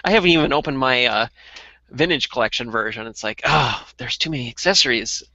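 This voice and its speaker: Guy co-hosting a hobby podcast, bright forward voice, casual, dry but kinda muffled recording. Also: mid-range voice, fairly quick, no room sound. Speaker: co-host of a toy collecting podcast. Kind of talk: casual chat. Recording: dull, muffled lo-fi audio.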